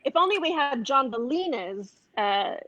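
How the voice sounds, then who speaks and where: A woman's voice: sits high, very animated, moderate pace, bright, forceful tone, dull audio, a little hiss or hum. A woman from a comedy troupe in a casual video chat, chatty and self-mocking.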